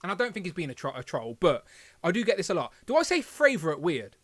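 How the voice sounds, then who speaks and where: A man with a slight lisp chats and rambles as a live stream host, poking fun at himself. His voice sits high for a man. The sound is dry and close, with no room around it. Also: sing-song, fast talker, dry recording. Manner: chatty, rambling, self-deprecating. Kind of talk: casual live stream chat.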